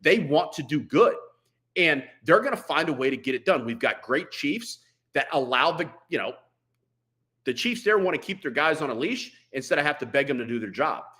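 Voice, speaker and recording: Bright-voiced, blunt man talking shop. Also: on the high side, expressive, normal speed. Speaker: veteran firefighter, podcast guest. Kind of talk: conversational interview talk on firefighting.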